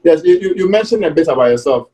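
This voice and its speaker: A man's voice: a little high, animated, dark tone. Formal male show host. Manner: formal and announcing, slow and deliberate. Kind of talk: hosting an interview.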